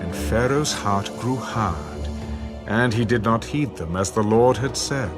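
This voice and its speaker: A man narrating a dramatised Bible reading in a solemn, measured storytelling way. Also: on the low side. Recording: prominent music under the voice. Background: loud background music.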